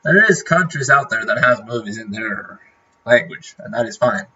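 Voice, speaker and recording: A man's voice: medium pitch, animated, normal speed, sharp tone, breathy edge, muffled recording. Norwegian man chatting on a livestream. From Norway. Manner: rambling, many fillers and restarts. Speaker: streamer. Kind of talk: casual livestream chat.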